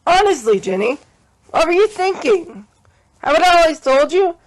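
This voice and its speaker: A woman's voice: very high-pitched, sing-song, normal speed, low-quality recording. A woman playing an anxious, scolding father, chiding and agitated, in an audio drama. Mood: scolding, worried.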